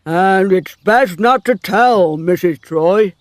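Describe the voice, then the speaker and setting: A man voicing a village laborer who speaks gently and confidingly, as if sharing gossip. He talks slowly in a voice a bit high for a man. The recording is dry and close. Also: dry recording. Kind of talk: audiobook dialogue reading.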